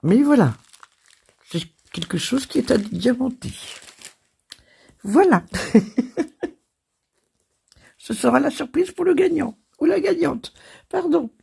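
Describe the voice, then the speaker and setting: A French woman hosting her crafting channel, hoarse from a cold, chatting casually and laughing at herself. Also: on the high side, darkish tone. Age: older. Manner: chatty and self-deprecating, laughing and coughing. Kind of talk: casual chat.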